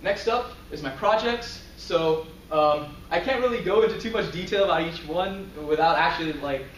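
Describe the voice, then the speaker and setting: A man's voice: a little high, moderate pace, a bit bright, a little hiss or hum. Young man presenting in an echoey classroom. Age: young adult. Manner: casual, filler-heavy, chuckles often. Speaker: student presenter. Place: lecture room, in front of a projector screen. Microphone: far camera. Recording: roomy, distant camera audio.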